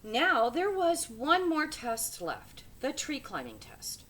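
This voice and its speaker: A woman's voice: high voice, wildly swooping pitch, takes it slowly, a bit bright. A woman reading a children's storybook aloud with dramatic, emphatic phrasing. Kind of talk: expressive children's storybook narration.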